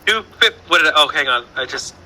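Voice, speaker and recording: Bright-voiced Southern man hosting trivia. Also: a little high, expressive, fast, faint music behind. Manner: rambling, playful, lots of fillers and restarts. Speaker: trivia host. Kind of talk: casual online trivia show.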